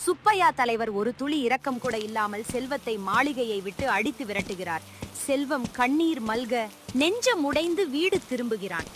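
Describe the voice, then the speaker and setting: A woman's voice: sits high, animated, normal speed, a bit bright, a little hiss or hum. A woman narrator telling a scripted story in a dramatic style.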